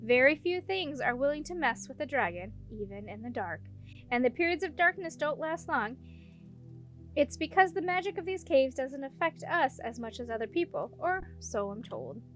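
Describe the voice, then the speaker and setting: A woman's voice: high voice, lively intonation, moderate pace, faint music behind. A woman voicing a matter-of-fact female dragon in a storybook, a protective guide who instructs and reassures. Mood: reassuring.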